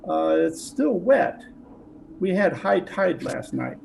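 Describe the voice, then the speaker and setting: A man's voice: high-pitched, big pitch swings, slow pace, gravelly, darkish tone, heavily acted. A man playing a polite police detective who questions with hesitant, feigned casualness in an old-time radio mystery. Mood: polite, casual. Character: polite but probing male police detective, casually suspicious. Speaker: actor in an online radio play performance. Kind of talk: 1940s radio mystery acting. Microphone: video call. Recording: noisy home video-call recording.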